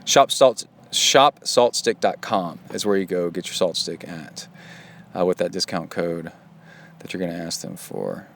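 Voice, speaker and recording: A man's voice: sits low, unhurried, creaky, fairly bright tone, a little hiss or hum. A middle-aged man hosting a podcast outdoors in a park, talking in a loose, chatty way with jokey asides. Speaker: podcast host and triathlon coach. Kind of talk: casual rambling podcast monologue.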